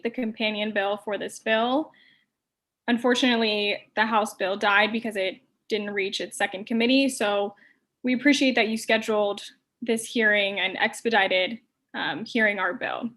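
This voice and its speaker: A woman's voice: a little high, fairly bright tone. A woman advocating for a bill at a hearing, speaking confidently and persuasively. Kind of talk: persuasive testimony.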